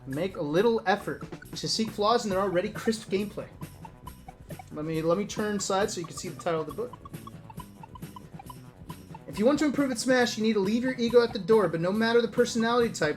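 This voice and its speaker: A streamer reading a book aloud in a casual way, breaking off for wry asides and talking directly to viewers. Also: mid-range voice. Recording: music playing behind the voice. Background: background music.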